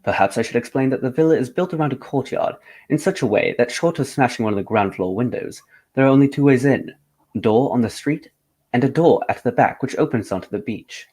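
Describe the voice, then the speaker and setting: An actor playing a composed, courteous gentleman detective in a radio drama, speaking in a formal, measured way. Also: medium pitch, expressive, slightly rough. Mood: earnest recounting.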